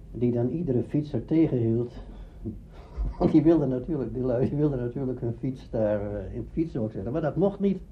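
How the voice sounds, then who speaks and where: An older man, an interviewee telling anecdotes the past in a chatty, repetitive way. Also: mid-range voice. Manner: chatty storyteller, repeats himself. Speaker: interviewee, veteran radio actor. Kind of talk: anecdotal reminiscence. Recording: tinny narrow-band archive recording, faint noise.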